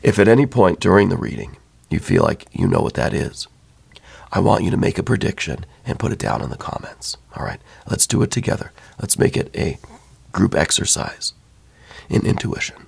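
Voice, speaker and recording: A man with a hoarse voice, worn down by laryngitis, giving a soft, thoughtful ASMR tarot reading. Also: low voice, very animated, normal speed. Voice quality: hoarse, breaking into whispers. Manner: soft, thoughtful, with many fillers and repeats. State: losing his voice from laryngitis. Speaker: tarot reader.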